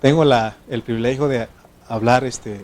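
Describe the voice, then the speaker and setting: A man preaching a sermon from Mexico, first language not Spanish, talking to his congregation in an animated way, moving between loud exhortation and quiet asides. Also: mid-range voice, normal speed, slight background noise.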